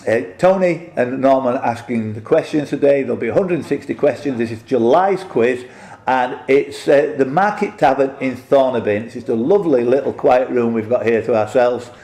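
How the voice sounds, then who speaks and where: A man's voice: mid-range voice, moderate pace, a little mellow. A middle-aged or older man speaking casually as a quiz host, chatty, jokey and rambling. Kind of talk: casual unscripted introduction and player interviews. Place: quiet room in a pub, indoors. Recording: echoey small room.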